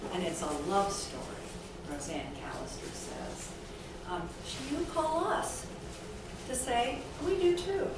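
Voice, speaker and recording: A woman hosting a small group event, introducing a speaker off the cuff and prompting listeners with short tag questions. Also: medium pitch. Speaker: host introducing an author. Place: indoor event room at an arts center. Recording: very echoey, noisy room, distant microphone.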